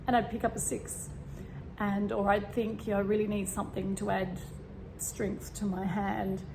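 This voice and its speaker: A woman with a slightly croaky voice talks in a loose, meandering way, often pausing on fillers and clearing her throat. Her pitch sits in a middle range for a woman. A fan hums plainly in the background of her home recording. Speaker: spiritual vlogger. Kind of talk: casual spiritual talk. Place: home room with a fan running. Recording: steady fan hum behind. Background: fan noise.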